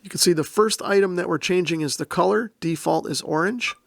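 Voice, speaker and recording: Creaky-voiced man giving a step-by-step demonstration. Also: high voice, brisk, a bit bright, dry recording, clean studio sound. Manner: methodical, plain-spoken explaining. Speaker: host, radio reviewer. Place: indoor room.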